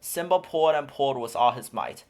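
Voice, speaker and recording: A man narrating a children's picture book aloud in a steady, clear storytelling manner. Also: medium pitch, fairly quick. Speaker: storybook reader.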